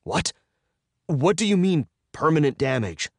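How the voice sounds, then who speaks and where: A man acts out a young man in an audiobook thriller, pitched well up from a low natural register. He is indignant and panicky, with sarcastic, exasperated outbursts in a dry, close recording. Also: sing-song, extremely slow, gravelly, dry recording, heavily acted. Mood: alarmed, incredulous. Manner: exasperated, shouting, incredulous outbursts.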